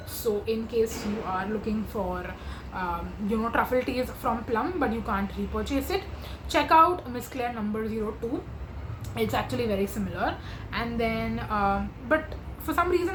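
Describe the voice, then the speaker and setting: A woman, chatting to camera as a beauty vlogger in a gushing, filler-heavy way, her voice going hoarse. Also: fairly high pitch, reverberant, a little hiss or hum, low-quality recording. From India. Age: 20s. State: losing her voice. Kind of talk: casual makeup chat to camera.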